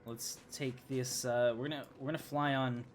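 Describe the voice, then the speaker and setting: A man's voice: mid-range voice, level tone, normal speed. A male game streamer with a mid-range voice. He gives casual, chatty live commentary and rambles as he plays. Faint noise and game ambience sit behind him.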